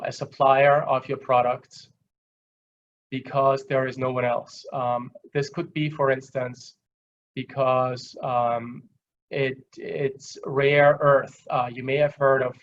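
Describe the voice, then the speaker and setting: Muffled webinar audio, man, Austrian accent, mid-pitched, halting delivery, explanatory, conversational lecturing. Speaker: guest lecturer. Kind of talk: webinar lecture with simple examples. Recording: dull, lo-fi online call audio.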